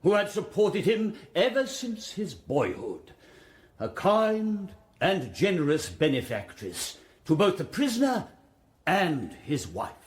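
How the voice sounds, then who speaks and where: An actor playing a formidable old-school prosecuting barrister in a radio drama, with an English accent and deliberate, righteous oratory. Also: male voice, sits high, huge pitch swings, exaggerated voice. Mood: indignant, declamatory. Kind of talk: courtroom speech in radio drama.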